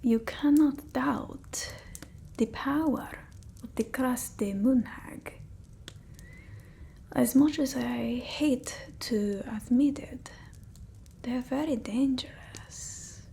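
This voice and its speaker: Woman as a fussy vampire mistress, whispery ASMR, high and slow, gently bossy, close mic. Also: creaky, a little hiss or hum, full character voice. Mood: anxious, secretive. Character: fussy, condescending female vampire doting on human familiar. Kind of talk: ASMR roleplay, mostly whispered. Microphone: close ASMR microphone.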